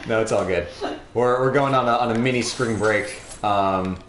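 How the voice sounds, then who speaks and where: A young man chatting casually and a little haltingly as he hosts a gaming livestream. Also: lowish voice, a bit bright. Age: young adult. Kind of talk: casual chat while playing a game. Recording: roomy echo, faint background noise.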